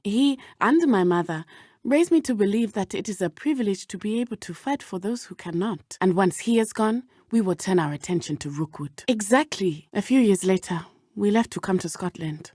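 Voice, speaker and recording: A woman with a southern African accent playing an earnest, brave teenage witch in a video game, speaking in measured, formal, precise diction. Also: mid-range voice, darkish tone, no echo, clean studio sound, lightly acted. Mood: determined. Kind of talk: video game character dialogue.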